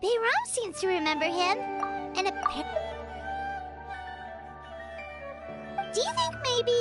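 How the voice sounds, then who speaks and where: A woman voicing a small, earnest, inquisitive childlike fairy companion in a video game, squeaky and expressive. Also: moderate pace, full character voice. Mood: pondering. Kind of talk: video game dialogue acting. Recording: loud music over the voice.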